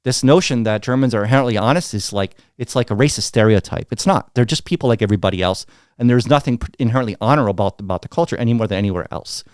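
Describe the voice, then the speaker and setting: A middle-aged American man telling candid business stories as an interview guest, blunt and animated, acting out what others said. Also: mid-range voice, racing, clear, resonant tone, no room sound, studio quality. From United States. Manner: blunt, animated, acts out quoted dialogue.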